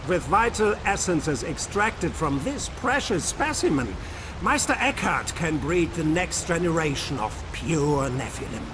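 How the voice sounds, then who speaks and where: Theatrical, high-ish fanatical cult henchman. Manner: haughty, zealous, theatrical. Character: arrogant, fanatical male cult henchman, contemptuous of intruders. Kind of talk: video game cutscene acting. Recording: noisy ambience behind the voice.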